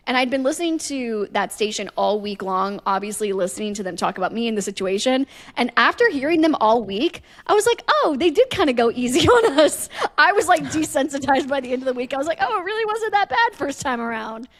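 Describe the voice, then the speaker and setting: A woman's voice: high-pitched, lively intonation, fairly quick, hardly any pauses, no echo. A woman chatting casually as a podcast host, telling a story and acting out what people said.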